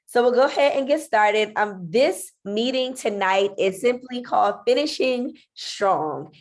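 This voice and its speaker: A woman's voice: a little high, lively intonation, unhurried. A woman with a stuffy, nasal voice from congestion, running an online parent meeting as a school leader and talking in a friendly way with frequent ums. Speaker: school principal.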